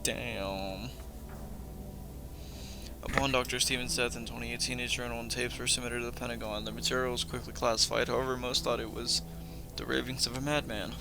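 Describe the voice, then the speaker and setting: A man's voice: mid-range voice, little pitch movement, a little fast, over music. A young man hosting a reaction video, casually commenting and reading text aloud.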